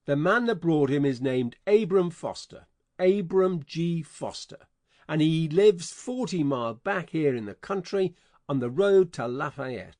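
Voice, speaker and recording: A man's voice: a little high, lively intonation, unhurried, a little mellow, no room sound, studio quality. A man playing a bullying folksy con man. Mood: commanding. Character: scheming adult male con man, menacing. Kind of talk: audiobook dramatization.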